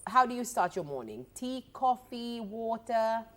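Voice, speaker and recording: A woman's voice: on the high side, big pitch swings, measured pace, slight background noise. A woman hosts a morning radio talk show. Her voice rises and falls a lot as she asks friendly questions. She slips easily between Creole and English. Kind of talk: casual radio interview.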